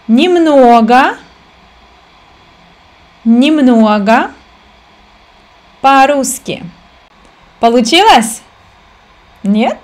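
A woman in her thirties leads a reading drill, speaking with deliberate, drawn-out slowness. She repeats each phrase, breaking words into syllables for learners. Her voice sits a little high, close to a webcam in a room at home. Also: darkish tone. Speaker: language teacher.

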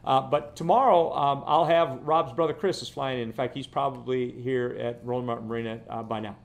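A man's voice: mid-range voice, steady tone, brisk, gravelly. A man in his fifties, a fishing guide hosting his vlog from his living room, talking casually and rambling, often repeating words. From Florida. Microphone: camera close up, seated.

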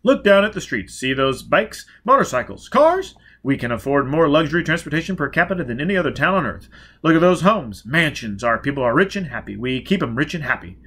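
A man's voice: a little high, very animated, a little fast, a bit bright, slightly rough, heavily acted. A man voicing a boastful, overeager small-town mayor in an audiobook, with clipped, exclamatory sales patter. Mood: boastful sales pitch.

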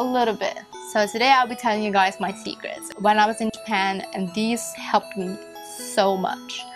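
A young woman in her twenties giving chatty, emphatic language-learning tips to camera in a small bedroom. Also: medium pitch. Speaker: language vlogger. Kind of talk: casual tips vlog to camera. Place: small bedroom with fairy lights. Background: soft music box music.

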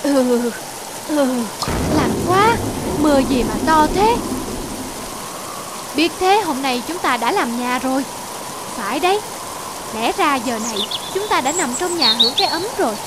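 High-pitched whiny cartoon monkey. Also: female voice, lively intonation, unhurried, long pauses, very noisy. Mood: miserable, shivering. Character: lazy, whiny cartoon monkey, easily swayed. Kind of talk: children's fable cartoon dubbing. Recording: loud music and rain behind. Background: music and rain.

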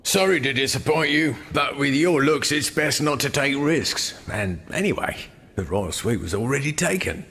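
Long reverb, cheeky streetwise informant, animated, teasing, video game. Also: male voice, normal speed. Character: chatty, cheeky male underworld informant. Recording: long reverb, faint background noise and rumble.

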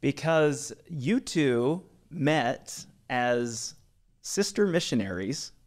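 A man's voice: mid-range voice, lively intonation, extremely slow. A man in his forties hosting a podcast, upbeat and chatty with many fillers, speaking into a studio mic in a small studio. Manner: upbeat, with frequent "uh" fillers and restarts. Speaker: podcast host. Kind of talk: casual podcast interview. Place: small studio with brick wall, indoors. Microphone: studio mic on boom arm.

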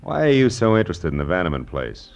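A man's voice: sits low, very animated, fast, gravelly, full character voice. An actor playing a tough, sardonic male private detective in a 1950s radio drama, with clipped wisecracks. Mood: probing. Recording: muffled, noisy vintage radio recording.

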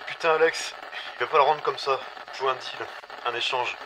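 A man's voice: mid-range voice, fairly even intonation, normal speed. A man playing a brash, wary survivor talking over a radio, blunt and slangy, in an audio drama. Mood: alarmed. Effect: radio filter. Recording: harsh noisy radio link.

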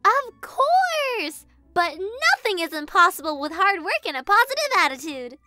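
Casting: tiny cartoon girl kitten, very high, bright, childlike, naive and eager. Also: measured pace, no room sound, faint music behind, full character voice. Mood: eager, cheerful. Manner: childlike, earnest, excitable, wide-eyed. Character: naive, eager, cheerful little female kitten. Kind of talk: animated comic dub acting.

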